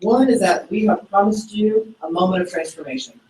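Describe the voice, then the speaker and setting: High-voiced man urging on an audience. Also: brisk, a little mellow. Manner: exhortative, with repeated tag questions. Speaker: speaker giving closing remarks. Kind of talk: motivational speech. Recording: roomy hall, far from the mic.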